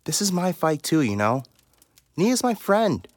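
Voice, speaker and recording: Nervy bookish young guy, hesitant, complaining, pitch jumps all over, fantasy audio drama. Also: big pitch swings, measured pace, no echo. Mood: indignant. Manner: hesitant, protesting. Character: anxious, bookish young man, frustrated at being sidelined.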